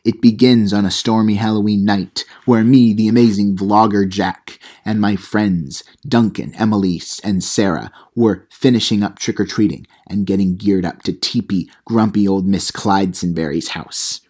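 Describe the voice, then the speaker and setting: A man's voice: fairly low pitch, fairly even intonation, moderate pace, hardly any pauses, darkish tone, voice pushed down, close to an everyday voice. A man playing a cheeky, self-important teenage vlogger who gives mock how-to advice in an audio comedy. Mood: storytelling. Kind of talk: comedic how-to vlog monologue.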